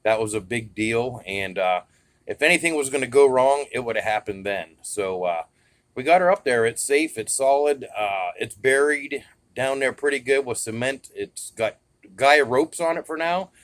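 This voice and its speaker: An American man with a mid-pitched voice narrates a casual hobby vlog. He is informal and plain-spoken, and the sound is dry with little room around it. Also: expressive, normal speed, a bit bright, dry recording. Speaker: radio hobbyist vlogger.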